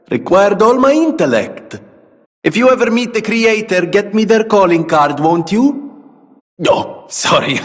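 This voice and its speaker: An actor playing a vain, friendly, eccentric inventor in a video game, with a theatrical, self-satisfied, florid delivery. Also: male voice, on the high side, slightly rough, full character voice. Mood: proud, excited. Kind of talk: video game character dialogue. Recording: long reverberant hall echo.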